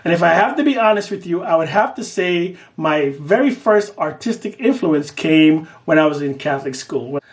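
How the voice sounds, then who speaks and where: A middle-aged man from Guyana, telling his own story in an earnest audition video. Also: a little high. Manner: earnest, lively, swings between quick bursts and slow emphasis. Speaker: artist making an audition video. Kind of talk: personal storytelling to camera.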